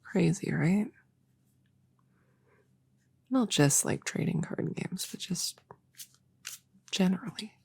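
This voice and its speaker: A woman playing a young, earnest novice witch in a soft, partly whispered ASMR roleplay, chatting hesitantly and intimately as if to a friend. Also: on the low side, animated, takes it slowly, pauses often, no echo, heavily acted. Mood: musing. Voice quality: breathy, soft.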